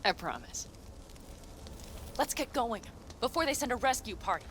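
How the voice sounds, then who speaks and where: A woman's voice: a little high, lively intonation, normal speed, fairly bright tone, a little hiss or hum. An actress playing a blunt, determined female nomad fighter in a game cutscene. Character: fierce loyal female nomad fighter, vengeful. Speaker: game character. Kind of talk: game cutscene and combat voice acting.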